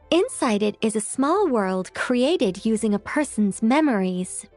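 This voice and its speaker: A woman playing a composed, scholarly young mage who explains things in a measured way in a fantasy game. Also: fairly high pitch, dry recording, natural voice. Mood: calm. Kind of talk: animated video game dialogue.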